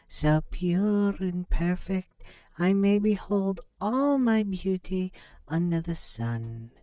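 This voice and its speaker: A man's voice: sits high, dark tone, no echo, pitched above the performer's own voice. A man voicing a vain young maiden in a fairy tale, pleading in formal, archaic speech. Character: vain young maiden, merchant's second daughter. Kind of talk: storybook character voice.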